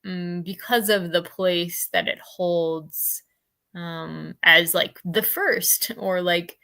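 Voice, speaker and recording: Woman with bright, creaky, lowish voice. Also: steady tone, takes it slowly. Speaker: host of a book discussion livestream. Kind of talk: casual book discussion.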